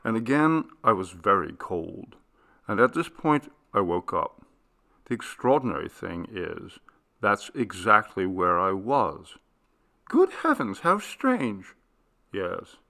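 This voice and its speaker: A nervous, sentimental older gentleman in a storybook tale, as acted by a male reader. He muses hesitantly and breaks into rueful exclamations. The voice is on the low side, crisp-edged and full of fry. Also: very animated, slow, full character voice. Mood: bewildered, musing. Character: nervous, sentimental older gentleman ship passenger, musing and puzzled.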